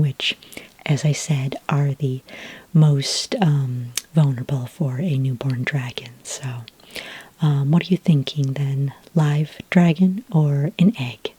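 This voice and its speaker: A woman playing a friendly, patient dragon ranch expert in a soft-spoken ASMR roleplay, whispery and breathy, explaining gently and hesitantly. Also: low-pitched, dry recording, full character voice. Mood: patient, instructive.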